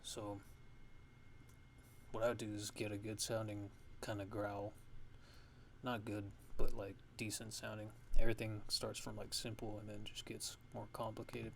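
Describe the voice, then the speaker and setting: A man giving a casual software tutorial, thinking aloud in a halting, low-key way with pauses mid-sentence. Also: completely flat delivery. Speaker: music production tutorial host. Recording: clear background noise.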